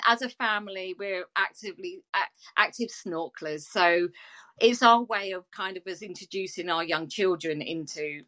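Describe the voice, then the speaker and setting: A woman's voice: mid-range voice, pushed and bright. A woman artist speaking as a guest on an online panel over a video call, gushing with enthusiasm.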